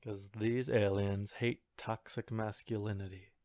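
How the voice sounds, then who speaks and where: A woman's voice: rumbling low, flat delivery, unhurried, a little mellow, no room sound. A woman co-hosting a podcast in casual chat, reacting with wry, skeptical remarks. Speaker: podcast co-host reacting to the story.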